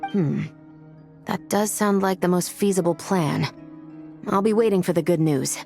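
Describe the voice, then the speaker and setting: A woman's voice: on the low side, measured pace, vocal fry, over music. A woman playing a serious, dutiful law officer in a video game cutscene, with a sober, businesslike manner.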